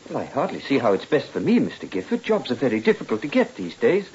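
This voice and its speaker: An actor in an old-time radio drama playing a meek, anxious middle-aged clerk who speaks hesitantly and deferentially. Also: male voice, mid-range voice, huge pitch swings, fast, a little mellow, slight background noise. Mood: pleading, shaken. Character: meek, anxious, ailing male ledger clerk. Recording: muffled old radio recording.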